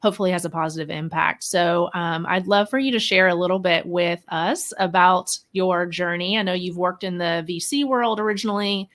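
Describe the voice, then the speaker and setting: A woman's voice: fairly low pitch, fairly even intonation, moderate pace, few pauses, fairly bright tone. A woman hosting a conversational interview, speaking in a gracious, appreciative manner. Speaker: interview host.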